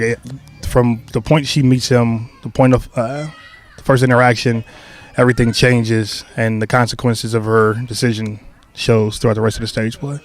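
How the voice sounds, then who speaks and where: A young man who created a stage play, answering interview questions in a loose, relaxed way with many fillers and restarts. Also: mid-range voice.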